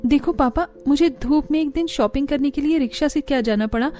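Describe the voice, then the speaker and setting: Boastful high-voiced young bride played by a woman. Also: fairly quick, mellow, soft background music, close to an everyday voice. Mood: haughty. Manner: bragging, whiny, dramatic. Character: boastful, spoiled young newlywed daughter-in-law flaunting her in-laws' riches. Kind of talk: Hindi cartoon story dialogue.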